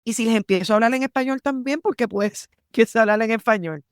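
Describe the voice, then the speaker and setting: A woman from Puerto Rico with a Puerto Rican accent, telling her story in a lively interview and mixing English terms into her Spanish. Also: a little high, fast, clear, resonant tone, no echo, studio quality. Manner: animated, emphatic, mixes English terms into Spanish. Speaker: army lawyer, guest.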